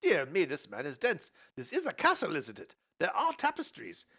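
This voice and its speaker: A man's voice: fairly high pitch, huge pitch swings, normal speed, pushed and bright, husky, voice pushed up, heavily acted. A man voicing a pompous, indignant lord with a put-on Scottish accent.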